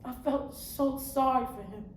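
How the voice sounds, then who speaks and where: An actress performs a weary woman turned angel, baring her soul in a stage monologue. Her fairly high voice moves slowly and deliberately, with heartfelt weight. The room rings around her. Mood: sorrowful confession. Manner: slow, heartfelt, deliberate delivery. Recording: roomy echo, faint background noise.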